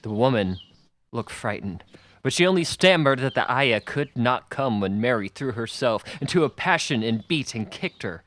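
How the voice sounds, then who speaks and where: A man's voice: mid-range voice, a bit bright. A man reading a children's novel aloud as scripted audiobook narration. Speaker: audiobook reader.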